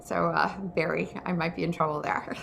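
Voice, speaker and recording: A woman's voice: fairly low pitch, flat delivery, moderate pace, creaky. A woman hosting a casual workshop, presenting in an informal, chatty and emphatic way from an indoor room. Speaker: host and photographer. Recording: noticeable background noise.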